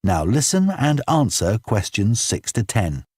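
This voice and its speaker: A man reading scripted exam instructions in a formal, measured announcing manner. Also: on the low side, big pitch swings, a little mellow, breathy edge, dry recording, clean studio sound. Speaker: exam narrator.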